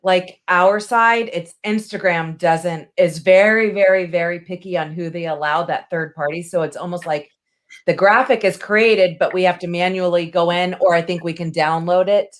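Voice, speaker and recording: A woman chimes in as a panelist in a casual, conversational way. Her pitch is a little low for a woman, and she leans on words for emphasis. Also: steady tone, normal speed. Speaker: webinar panelist. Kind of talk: casual chat.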